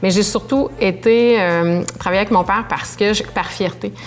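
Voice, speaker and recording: A woman's voice: medium pitch, moderate pace. Candid Québécois businesswoman guest. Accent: Québécois French. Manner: lively, candid, with frequent fillers. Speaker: business owner, interview guest. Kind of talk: conversational interview.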